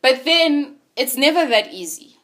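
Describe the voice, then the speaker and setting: A woman's voice: high-pitched, expressive, measured pace, bright, forceful tone. A woman in her twenties, hosting a casual conversation and telling her own story in a candid, reflective way. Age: 20s. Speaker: podcast host.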